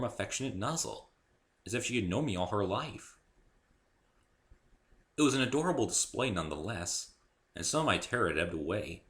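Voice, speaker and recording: A man reading a story aloud in the first person as an amateur narrator, expressive and theatrical. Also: fairly low pitch.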